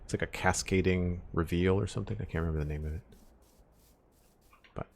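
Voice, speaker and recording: A young man with a deep voice chatting casually on a live stream, thinking aloud as he builds, into a desk mic at home. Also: brisk, vocal fry, slight background noise. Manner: rambling, thinking aloud, counts blocks under his breath. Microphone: desk microphone with headphones.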